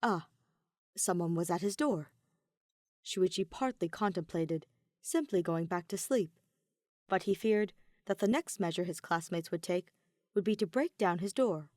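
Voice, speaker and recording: A woman narrating fan fiction like an audiobook, measured and emotive, with dramatic pauses. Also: fairly low pitch, darkish tone, dry recording, studio quality. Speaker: podfic reader.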